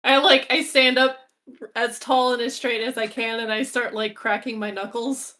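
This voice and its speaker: A woman's voice: on the high side, steady tone, normal speed, bright, forceful tone. A woman playing a tabletop game, talking casually about her moves and the rules.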